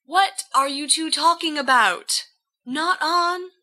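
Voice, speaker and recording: Woman doing a hearty schoolboy ringleader, confident, very sharp bright tone, unhurried, audio fiction. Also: high voice, voice pushed up, full character voice. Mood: reproving. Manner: hearty, posh schoolboy slang. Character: confident, jovial, challenging teenage schoolboy ringleader. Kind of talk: amateur audio fan fiction reading.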